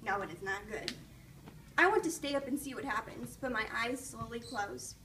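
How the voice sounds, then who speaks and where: A woman reading a children's storybook aloud in an expressive, encouraging way, pausing to ask questions, recorded from a distance. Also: a little high. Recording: distant mic with faint background noise.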